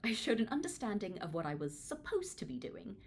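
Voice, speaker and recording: A woman from Hong Kong reading a book aloud in an expressive, informal, self-deprecating way, like a read-along. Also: medium pitch, a little fast, vocal fry, a little hiss or hum. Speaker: author reading their own book aloud. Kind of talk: audiobook read-along with casual asides.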